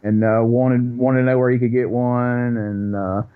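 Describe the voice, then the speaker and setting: A man with a Chicago accent, a podcast guest telling animated, profane stories in casual chat. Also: mid-range voice, unhurried, clean tone. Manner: animated, profane anecdote teller. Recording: dull lo-fi recording.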